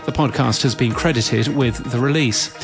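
A man's voice: mid-range voice, moderate pace. A man hosting a podcast news bulletin, reading from a script. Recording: music bed behind voice. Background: music.

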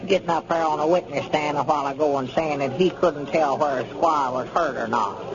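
An actor playing a cranky, indignant old storekeeper with a rural Southern US accent and folksy, grumbling manner in 1930s radio comedy style. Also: male voice, fairly high pitch, a little fast, crowd noise, close to an everyday voice. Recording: muffled 1930s radio broadcast recording.

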